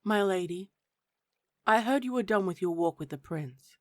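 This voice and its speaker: A woman's voice: mid-range voice, animated, normal speed, no echo, studio quality, lightly acted. A woman playing a prim, stern, dutiful attendant of a princess in a fantasy audio roleplay, speaking in a formal, proper, clipped way. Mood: stern, cool.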